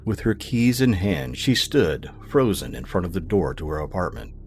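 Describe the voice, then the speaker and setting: A man reading dramatic scripted narration for a suspenseful mystery audio drama, in a measured way. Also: low voice, very animated, faint music behind.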